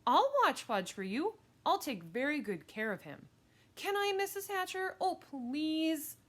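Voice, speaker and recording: A woman's voice: high voice, sing-song, a little slow, fairly bright tone, pitched above the performer's own voice, full character voice. A woman voicing a bossy, eager, cocksure young girl in a children's audiobook, with a pushy manner. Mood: eager begging. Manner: pushy, pleading, sing-song teasing.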